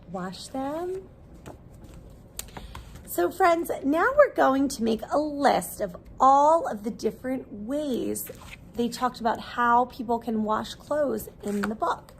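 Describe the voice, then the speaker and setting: A woman preschool teacher reading a children's book aloud expressively, with simple, deliberate questions for young listeners. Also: sits high, vocal fry, a little hiss or hum.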